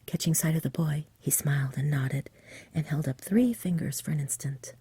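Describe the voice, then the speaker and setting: A woman reading a story in a hushed, soothing ASMR whisper, close to the microphone. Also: low voice, normal speed, dry recording. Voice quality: breathy whisper. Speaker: ASMR reader.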